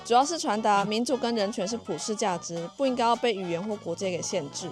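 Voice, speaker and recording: A woman narrates a travel vlog in a medium-pitched voice, explaining history and background. Light music plays beneath her informative delivery. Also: fast talker.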